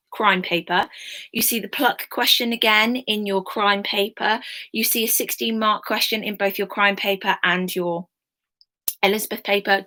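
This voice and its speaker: A young woman teaching a history class online, chatty and informal with many ums, her voice slightly croaky. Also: mid-range voice, pushed and bright.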